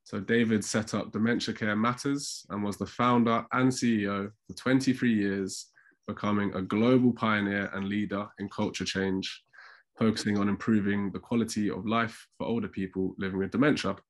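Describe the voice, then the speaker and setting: Low-pitched man with a cold. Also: steady tone, normal speed. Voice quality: nasal, congested. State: bunged up with a cold. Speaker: panel chair. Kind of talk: introducing an online panel discussion. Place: indoors at home on a video call. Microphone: video call microphone.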